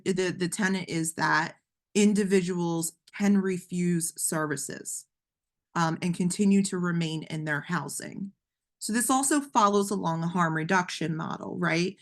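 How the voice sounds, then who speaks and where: A woman presenting a webinar in a conversational, explanatory way, her voice a little hoarse, with frequent ums and restarts. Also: on the low side. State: slightly hoarse, losing voice.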